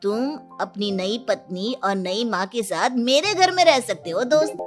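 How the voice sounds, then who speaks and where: A helpful, scheming young man in a cartoon moral story, played by a woman. The voice is high and confidently reassuring, over faint music. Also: lively intonation, measured pace. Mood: persuasive.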